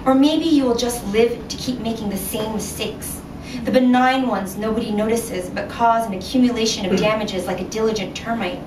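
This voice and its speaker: A woman author reading her short story aloud at a live event, measured and expressive, recorded in a room from a distance. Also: a little high. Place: indoor venue at a reading series. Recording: roomy, noisy live recording from afar.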